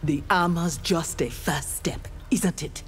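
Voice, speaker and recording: A woman's voice: lowish voice, slow pace, soft background music. A woman voicing an earnest, principled female warrior in a video game, speaking in a stern, reproachful way.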